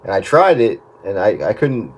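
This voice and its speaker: A man chats casually over two-way radio as an amateur operator, explaining things in a halting way. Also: fairly low pitch, sing-song, husky. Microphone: desk radio microphone.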